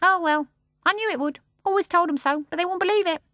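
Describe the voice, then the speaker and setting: A woman voicing a cheeky, boisterous male starling with a shrill, jeering voice and a loud, perky manner. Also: fast talker, no echo, clean studio sound. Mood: blustering.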